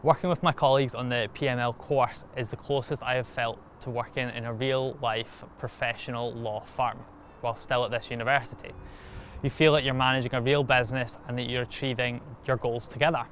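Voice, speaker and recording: A young man with a mid-pitched voice delivers a prepared testimonial as a student. There is a faint layer of noise behind him. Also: steady tone, a little fast, a bit bright. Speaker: university student.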